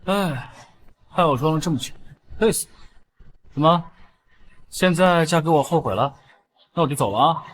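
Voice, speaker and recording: A man's voice: on the high side, normal speed, pauses often, slight background noise, close to an everyday voice. A man acting a confident young hero, a secret war lord in a melodrama, with a self-assured delivery. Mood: playful relief. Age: young adult. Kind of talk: melodramatic short-drama acting.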